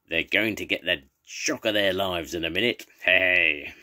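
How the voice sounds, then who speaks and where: A man reading aloud voices a gruff, menacing villainous pirate captain with a throaty, growling voice. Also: low voice, unhurried, bright, forceful tone, exaggerated voice. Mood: sly gloating. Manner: menacing, gloating, roaring. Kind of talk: storybook reading.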